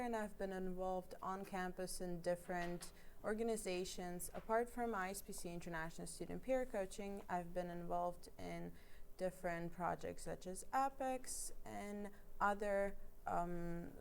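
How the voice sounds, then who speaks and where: A woman's voice: lowish voice, steady tone, a little slow, vocal fry, a little hiss or hum. A young woman from Russia, an engineering student, answering questions on a panel in an earnest, slightly hesitant way. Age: young adult. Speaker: engineering student, peer coach.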